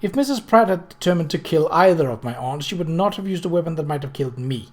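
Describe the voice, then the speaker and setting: Guy doing a sharp stubborn young woman in an audiobook, firm n matter of fact. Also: on the high side, expressive, fast talker, lightly acted. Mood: sharp, annoyed. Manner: clear, firm, factual. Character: young American woman secretary, composed, sharp, firmly stubborn. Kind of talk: novel reading.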